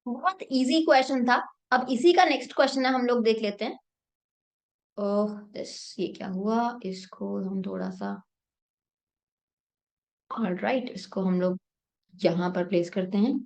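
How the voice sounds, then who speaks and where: Young female math tutor, sore throat, fairly high voice, talks through each step and answers her own questions. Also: measured pace, long pauses, a little mellow. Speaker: math teacher. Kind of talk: Hindi-English math lesson.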